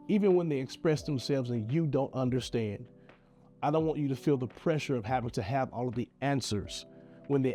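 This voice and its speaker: A man's voice: medium pitch, mellow. Nasal, congested man pleading earnestly. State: congested, nasal from sinus infection. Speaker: video creator giving advice. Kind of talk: earnest direct-to-camera message.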